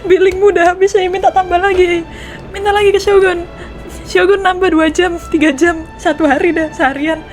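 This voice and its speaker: A woman with an Indonesian accent giving casual, excitable reaction commentary as she plays a game, often repeating her phrases. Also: very high-pitched, a little fast. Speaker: game streamer. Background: game music.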